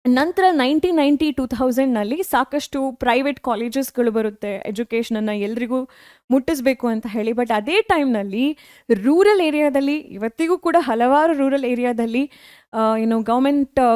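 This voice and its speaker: A woman's voice: a little high, darkish tone, clear, resonant tone, no echo, clean studio sound. A woman with a Kannada-speaking South Indian accent, giving an educational lecture as an online teacher, explaining directly to students and mixing in English phrases.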